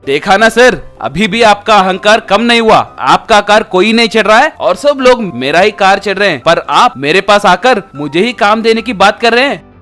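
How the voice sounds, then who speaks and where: A cheeky young village businessman, played by a male narrator, with a high voice. He is defiant, mocking and boastful in a comic moral story, music underneath. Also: lively intonation, moderate pace, fairly bright tone.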